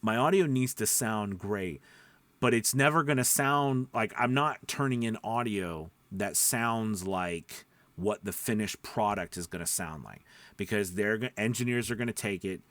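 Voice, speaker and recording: A man's voice: lowish voice, unhurried, fairly bright tone, dry recording. A man talking informally as a voice-over coach, explaining step by step, repeating points and checking in with listeners. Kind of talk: informal instructional talk to camera.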